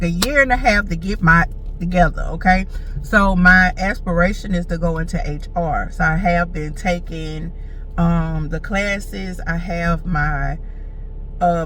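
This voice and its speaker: A woman's voice: on the low side, a little slow, a bit bright, far from the mic, slight background noise, low-quality recording. A woman, chatting casually and sassily to her viewers as a vlogger. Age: 40s. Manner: chatty, sassy, talks straight to viewers. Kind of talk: casual storytelling chat. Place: in a car.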